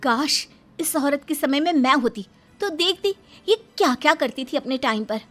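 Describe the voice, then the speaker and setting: A woman's voice: high-pitched, expressive, normal speed, fairly bright tone, soft background music. A woman voicing a put-upon young daughter-in-law in a family cartoon, pleading and exasperated. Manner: pleading, plaintive, wryly exasperated. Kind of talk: Hindi family cartoon voice acting.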